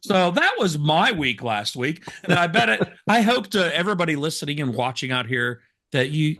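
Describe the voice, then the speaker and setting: A man co-hosting a casual talk show, hoarse from smoke, telling rambling self-deprecating stories. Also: on the high side, sing-song, normal speed, pushed and bright. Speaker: co-host. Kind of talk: casual chat, storytelling.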